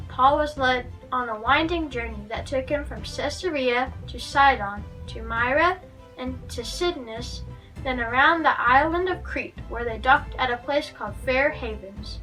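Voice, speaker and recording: A woman's voice: sits high, lively intonation, moderate pace, fairly bright tone. A woman reading a Bible story aloud for children as a guest narrator. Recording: distant microphone, music underneath.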